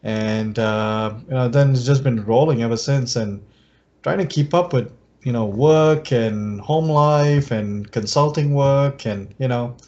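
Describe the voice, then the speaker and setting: Man, easygoing, quick to laugh, casual podcast talk from home, lo-fi call sound. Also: medium pitch, a little mellow. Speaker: podcast co-host. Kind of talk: casual chat. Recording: dull lo-fi call audio.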